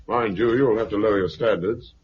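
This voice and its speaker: An actor with a British accent playing a smooth, officious, condescending self-appointed commander in a 1950s radio drama. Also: male voice, fairly low pitch, fairly quick, lightly acted. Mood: coolly authoritative. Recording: muffled, hissy 1950s radio recording.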